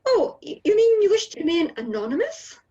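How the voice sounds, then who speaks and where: An actress playing a polite, earnest young charity collector in a radio drama, hesitant and pleading. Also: female voice, very high-pitched, sing-song, brisk, mellow, highs cut off. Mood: flustered pleading.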